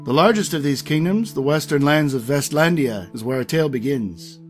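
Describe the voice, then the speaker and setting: A man reading scripted narration for a high fantasy audio drama, as its storyteller. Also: expressive, moderate pace, natural voice. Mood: measured, grand. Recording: music under the voice.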